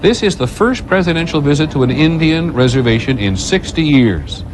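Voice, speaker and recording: A man reading the evening news as anchor in a measured, authoritative way. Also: on the high side, expressive, background noise.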